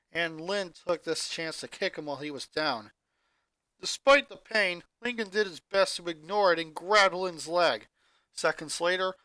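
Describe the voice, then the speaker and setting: A man's voice: sits high, pushed and bright, no echo. A man dramatically reading a fanfic aloud and narrating the action. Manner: dramatic, building intensity. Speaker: fanfic reader.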